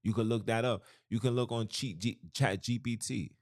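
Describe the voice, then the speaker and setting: A man's voice: medium pitch, fairly even intonation, normal speed, darkish tone, no echo, clean studio sound. A man hosting a podcast, talking casually and loosely about scripture and language, with slang and lots of "you know what I'm saying". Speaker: podcast host. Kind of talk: casual religious talk.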